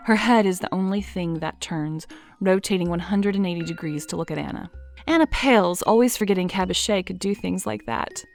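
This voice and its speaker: Woman reading narration for an audio drama, lowish voice, dry and measured, quiet music behind. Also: creaky. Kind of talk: scripted narration.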